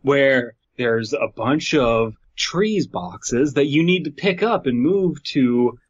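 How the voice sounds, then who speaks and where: A man's voice: mid-range voice, animated, slow pace, husky, no room sound. A man with a mid-range voice hosts a joking podcast, riffing in mock-serious tones. The sound is close and dry, a little dull on top. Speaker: podcast host and story reader. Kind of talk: joking casual chat with some reading aloud.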